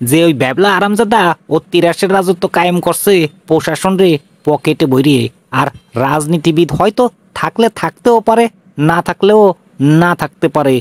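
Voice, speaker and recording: A man's voice: a little high, normal speed, no echo, lightly acted. A man voicing a boastful, comically tough cartoon police inspector, emphatic, with a rural Bangladeshi accent. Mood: determined, blustering. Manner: swaggering, emphatic.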